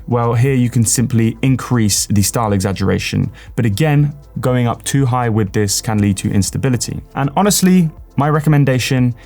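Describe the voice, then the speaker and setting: A man with a mid-range voice presents a software tutorial. He explains things step by step in an instructional style, leaning on the first words of each point. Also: medium pitch.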